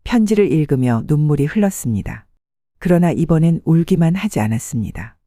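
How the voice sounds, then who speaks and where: Calm older woman narrating a story. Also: sits low, brisk, no room sound, clean studio sound. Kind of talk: scripted audiobook narration.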